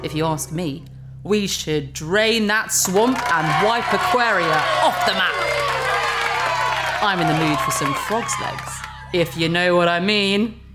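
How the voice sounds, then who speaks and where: A woman playing a smug, manipulative, warmongering talk-show host in a sci-fi audio drama, with pompous, emphatic broadcast patter. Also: on the low side, slow, music in the background, crowd noise. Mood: bellicose, rousing.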